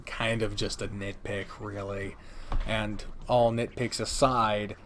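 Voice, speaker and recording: A man's voice: fairly low pitch, level tone, takes it slowly, fairly bright tone, slight background noise. A man giving opinionated, conversational review commentary as a video creator, speaking emphatically with dramatic build-ups and casual swearing. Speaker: video creator ranking favorite games.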